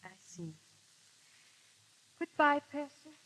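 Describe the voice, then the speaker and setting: An actress playing a proud, unhappy aristocratic wife with refined, emotive delivery in 1930s radio style. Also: female voice, high voice, big pitch swings, slow pace, heavy background noise, exaggerated voice. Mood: hurt, wistful. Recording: vintage 1930s radio broadcast.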